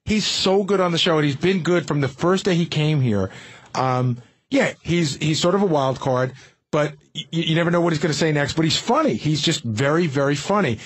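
A man's voice: on the high side, a little fast. A man on a radio panel, talking casually and rambling with repeated phrases. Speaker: radio show staffer and panelist. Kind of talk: casual discussion.

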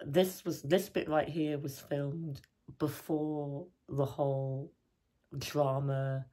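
A woman's voice: low-pitched, a little mellow. A young woman vlogger who is losing her voice, chatting casually and laughing between phrases. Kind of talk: casual aside to camera.

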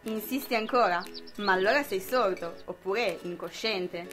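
Young woman acting a sharp-tongued, sarcastic wife. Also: medium pitch, normal speed, over music, natural voice. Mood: scolding. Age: young adult. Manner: sardonic. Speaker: student actor. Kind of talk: amateur radio drama acting.

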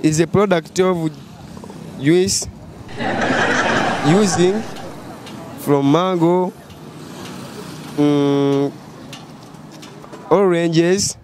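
Young guy answering real slow, stops to find words, traffic noise behind. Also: a little high. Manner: hesitant, searches for words. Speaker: passer-by interviewee. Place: busy street outdoors. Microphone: handheld mic held by host.

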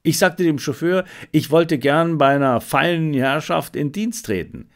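A man's voice: a little high, animated, a little slow. A man voicing a cunning fifteen-year-old Berlin street boy in an audiobook, reporting eagerly in clipped phrases. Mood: brisk, proud. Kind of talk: audiobook character reading.

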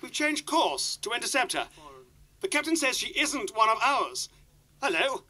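1950s live commentator at sea, very high sharp voice, urgent, exclamatory, faint noise. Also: male voice, very animated, moderate pace, heavily acted. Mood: tense, intrigued. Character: excited male radio commentator reporting live at sea. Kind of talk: 1950s live outside broadcast commentary, acted.

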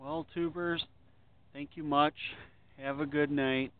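A man's voice: on the high side, fairly even intonation, slow pace, clean tone. A man vlogging outdoors by a river at night, chatting in a friendly way to his viewers. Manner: friendly, chatty sign-offs. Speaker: ship-watching vlogger. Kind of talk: casual vlog narration. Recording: tinny phone-like camera audio.

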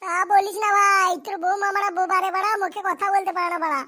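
A performer voicing a hapless, foul-mouthed young cartoon groom in coarse slang, squeaky and childlike. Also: little pitch movement, fast talker, sharp tone. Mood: panicky, exasperated. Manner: coarse, grumbling, rural slang. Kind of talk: amateur comedy cartoon voice acting.

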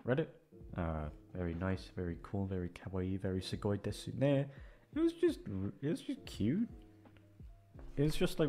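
A man chatting casually as a voice actor, rambling in a hesitant, self-deprecating way. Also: fairly low pitch. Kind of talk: casual outro chat.

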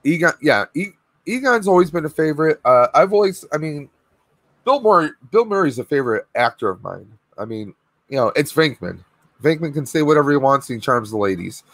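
A man in his thirties hosting a casual live stream from home, a bit hoarse and clearing his throat, talking on a video call in a rambling way. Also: fairly high pitch, very animated, a little fast, fairly bright tone, dry recording. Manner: chatty, rambling with fillers. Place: home room with collectibles, indoors. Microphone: webcam video call.

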